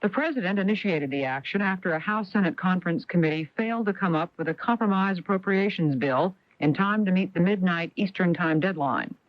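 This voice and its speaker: American man, news anchor, scripted delivery, raised pitch, dull vintage AM radio sound. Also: brisk, few pauses, no room sound. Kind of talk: scripted newscast reading.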